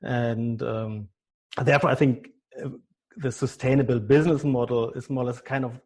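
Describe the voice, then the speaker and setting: A middle-aged man with a German accent, a business consultant answering podcast interview questions in halting English with many repeated words. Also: medium pitch, steady tone. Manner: halting, frequent repeated words, non-native phrasing.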